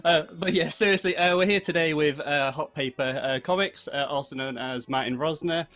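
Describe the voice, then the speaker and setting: A man's voice: on the high side, moderate pace, a bit bright. A British man chatting casually as a podcast host in a rambling, jokey way. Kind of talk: casual chat.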